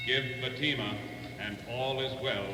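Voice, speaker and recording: A man's voice: medium pitch, fairly even intonation, measured pace. A man announcing in mid-century radio style, with formal programme and advertising lines. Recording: dull, noisy vintage radio recording.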